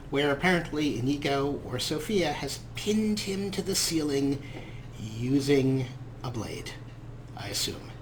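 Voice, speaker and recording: A man's voice: fairly high pitch, a little hiss or hum. A man hosting a let's play with wry, amused, casual commentary.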